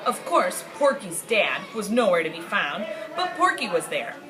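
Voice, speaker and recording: Animated woman reading a storybook aloud. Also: medium pitch, moderate pace. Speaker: story reader. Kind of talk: expressive scripted storybook read-aloud. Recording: clearly noisy amateur recording.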